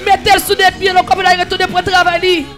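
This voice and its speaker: A man leading an impassioned prayer, pleading and repeating phrases, half singing and at times shouting. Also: very high-pitched, monotone, fast talker, soft background music. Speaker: prayer leader. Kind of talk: fervent chanted prayer.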